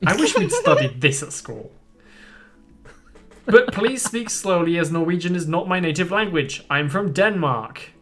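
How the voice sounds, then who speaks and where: A man's voice: sits high, very animated, measured pace, over music. A man streaming a game with friends, reading its text aloud in a playful, punning, chatty way. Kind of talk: casual game stream chat and read-aloud narration.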